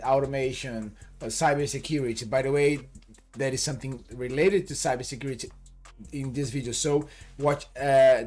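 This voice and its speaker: A man's voice: mid-range voice, a little mellow. Chatty Brazilian man teaching networking. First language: Portuguese. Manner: chatty, many fillers, acts out little dialogues. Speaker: networking instructor. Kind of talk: casual tutorial explanation.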